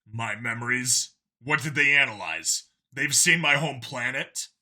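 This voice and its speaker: A man's voice: mid-range voice, measured pace, sharp tone. A man playing a hard, angry human cop in an audio sci-fi story, blunt and demanding. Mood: stern, demanding.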